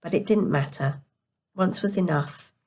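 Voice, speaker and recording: A woman narrates a novel in a slightly low register. Her voice is quiet and tired, as if sleepy. She reads carefully, now and then tripping on a word and picking it up again. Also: unhurried. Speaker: storyteller reading a novel aloud. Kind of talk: scripted story narration.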